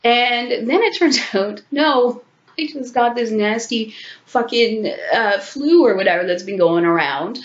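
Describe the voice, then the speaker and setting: A woman's voice: fairly high pitch, animated, unhurried. A woman in her thirties, sick with a sore throat and congestion, chatting frankly to her webcam at home, swearing freely and laughing between asides. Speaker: vlogger. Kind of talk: casual talking-head commentary. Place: small room at home, indoors. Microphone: webcam at desk distance. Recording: echoey room, dull lo-fi webcam sound.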